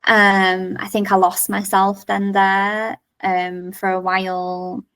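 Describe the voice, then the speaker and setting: Candid woman with gravelly fry, distant mic. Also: medium pitch, level tone, slow, fairly bright tone. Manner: candid, frank, laughs easily. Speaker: podcast guest, coach and yoga teacher. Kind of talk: casual podcast conversation.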